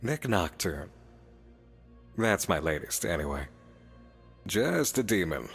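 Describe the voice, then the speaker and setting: An actor playing a sly, playful demon in a visual novel, teasing, cryptic and theatrical. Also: male voice, sits low, sing-song, slow, vocal fry, slightly rough, exaggerated voice. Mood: sly, composed. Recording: long reverb, music behind.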